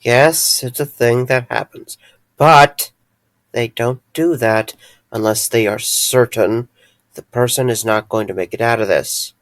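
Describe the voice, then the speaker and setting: A man's voice: medium pitch, a little slow, dry recording, natural voice. A man playing a caring, dryly wry friend in an audio drama, earnest and a little hesitant. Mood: reassuring.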